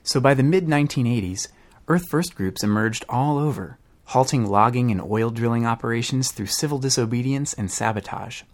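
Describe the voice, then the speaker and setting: A man's voice: mid-range voice, moderate pace. A man reading scripted documentary-style narration for a podcast. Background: music.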